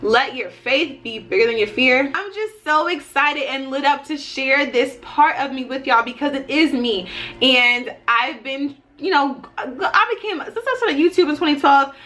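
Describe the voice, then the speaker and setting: A woman's voice: high voice, animated, unhurried, sharp tone. A woman in her thirties giving a casual motivational vlog talk, emphatic and folksy, often checking in with her listeners. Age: 30s. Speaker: YouTuber and life and business coach.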